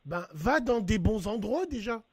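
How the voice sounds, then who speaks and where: Animated high-voiced man giving advice. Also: unhurried, breathy edge, no echo. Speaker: host. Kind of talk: casual debate over a phone call.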